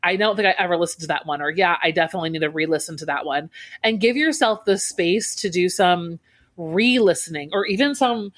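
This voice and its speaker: A woman's voice: lowish voice, lively intonation, brisk, fairly bright tone. Friendly woman co-host, stop-start phrasing. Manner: hesitant restarts, friendly and encouraging. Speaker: podcast co-host. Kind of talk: casual chat.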